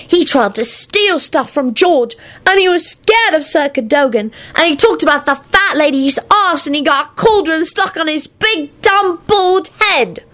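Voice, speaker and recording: A woman voicing a sulky, wailing young boy in a storybook, with a drawn-out whine. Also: high-pitched, lively intonation, a bit bright, pitched above the performer's own voice. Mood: wailing, indignant. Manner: drawn-out, whining delivery.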